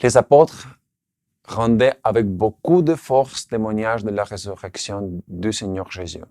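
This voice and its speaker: A man who speaks French, his language, giving an earnest, measured sermon. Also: fairly low pitch, mellow, no room sound, clean studio sound. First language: Russian. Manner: earnest, measured, slight restarts on words. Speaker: preacher.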